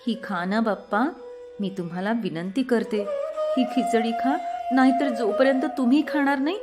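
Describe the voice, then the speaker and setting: A woman's voice: on the high side, moderate pace, loud music behind the voice, lightly acted. A woman voicing an innocent, devoted young village woman with a sweet, earnest, coaxing manner. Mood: pleading.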